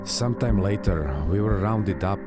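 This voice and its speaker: An actor playing a grim, weary tank captain in a war game, speaking in a terse, measured and sombre way. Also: male voice, on the low side, lightly acted. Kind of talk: dramatic war game acting. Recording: voice under loud music.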